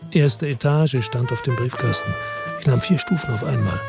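A man's voice: mid-range voice, normal speed, creaky, over loud music. A man narrating a radio drama in the first person, brooding and introspective.

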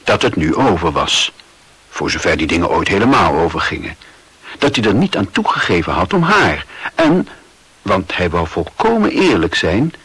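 A wry narrator of a literary radio drama. His low voice has a rough grain and a bright, forceful edge as he reads scripted prose. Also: dry recording, heavily acted.

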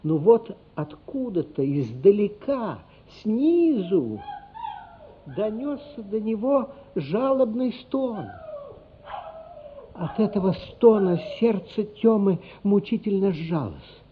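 A man with a slightly high voice narrates a radio drama. He reads slowly, with measured suspense and dramatic weight. The recording is somewhat dull, with faint music behind him. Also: big pitch swings. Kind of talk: dramatic scripted narration of a literary radio play. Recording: dull, band-limited radio play recording.

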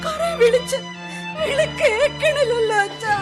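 A sobbing young bride, high voice. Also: female voice, a little slow, loud music behind the voice. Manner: sobbing, pleading. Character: young bride frantic for her missing husband. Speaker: radio drama actor.

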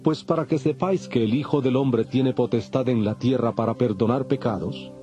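A man playing a calm, authoritative holy teacher, solemn and measured, in a dramatized audio Bible. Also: medium pitch, natural voice. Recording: dull lo-fi, faint music behind.

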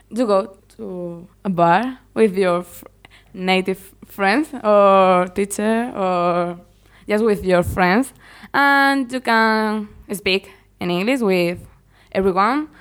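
Slow, clear-voiced Spanish-speaking woman. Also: medium pitch, fairly bright tone. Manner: halting learner English, frequent laughs and fillers. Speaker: English learner guest. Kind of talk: casual interview chat.

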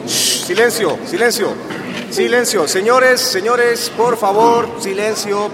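A man's voice: high-pitched, takes it slowly, a crowd in the background. An actor playing a pompous, irritated council chairman, scolding and condescending, in a radio drama. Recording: long hall reverb.

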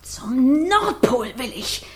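A young female fire spirit in a children's radio drama, restless and suffering. The voice is high and takes its time, with short, plaintive, theatrical phrases. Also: sing-song, slow, slight background noise, heavily acted. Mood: hushed, longing. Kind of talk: German children's radio play acting.

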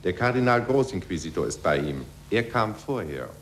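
Court official: rather low, stiff, formal, unbending, 1940s radio play with slight hum. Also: male voice, big pitch swings, normal speed. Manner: stiff, official, clipped announcements. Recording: faint noise and hum, vintage radio.

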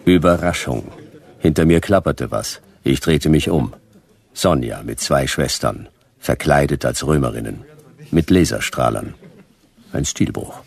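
A man playing a laconic private detective who narrates his case in a radio play, terse and deadpan. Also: rumbling low, measured pace, creaky, heavily acted. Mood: dry.

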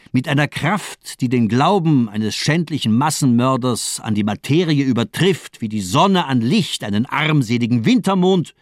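A man's voice: medium pitch, no echo, studio quality. A man acting in a radio drama as a fanatical, mocking doctor, declaiming with insistent, rhetorical force. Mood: taunting, insistent. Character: fanatical, mocking villainous male doctor, zealously demanding.

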